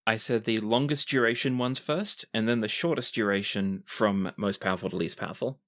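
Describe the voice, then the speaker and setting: A man narrating a tabletop roleplaying game as game master, in a measured, deliberate storytelling manner. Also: on the low side, fairly bright tone.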